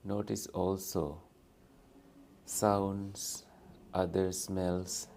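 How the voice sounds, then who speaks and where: Deep, slow man guiding meditation. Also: level tone, gravelly, slight background noise. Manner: gentle, soothing, with long pauses between short phrases. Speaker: meditation teacher. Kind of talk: guided meditation.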